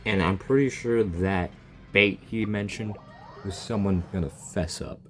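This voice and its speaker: A man's voice: lowish voice, unhurried, fairly bright tone. A man doing casual, blunt and joking reaction commentary as he plays a video game. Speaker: gaming video creator. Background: faint game music.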